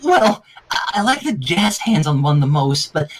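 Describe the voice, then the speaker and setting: A shy cartoon teenage girl, put on by a man, pitched high and hesitant. She stammers softly and gets flustered between words, over a noisy home recording. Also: big pitch swings, moderate pace. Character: timid, soft-spoken teenage pony girl, flustered and hesitant.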